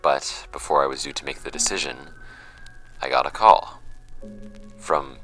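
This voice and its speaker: A man's voice: rumbling low, fairly bright tone, faint music behind. A man acting a troubled young man in an audio drama, giving a hesitant, introspective confessional monologue. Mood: wistful, subdued. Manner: hesitant, introspective, halting phrasing. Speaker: audio drama actor in the lead role.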